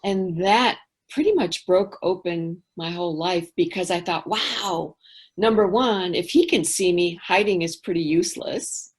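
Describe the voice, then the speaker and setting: Woman, middle-aged, low-ish voice, thoughtful, candid, soft trailing asides. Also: measured pace, slightly rough. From England. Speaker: guest. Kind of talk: reflective interview talk.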